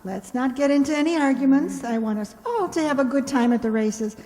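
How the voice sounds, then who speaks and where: A woman's voice: sits high, a little fast, dark tone, close to an everyday voice. A woman playing a sensible, wry young roommate in old-time radio comedy style. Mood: coaxing. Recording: echoey hall recording.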